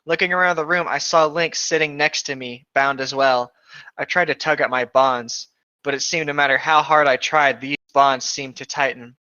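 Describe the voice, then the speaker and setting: A man casually reading aloud and adding deadpan comments as a co-host, on a lo-fi microphone. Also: fairly high pitch, normal speed, clean tone, dry recording.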